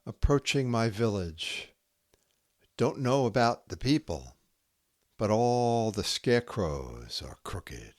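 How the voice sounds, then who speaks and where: Slow, low-voiced man reading poetry. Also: animated, a little mellow, no room sound, studio quality. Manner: deliberate, with long pauses between short phrases. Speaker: podcast host reading poetry. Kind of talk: measured poetry reading and scripted narration.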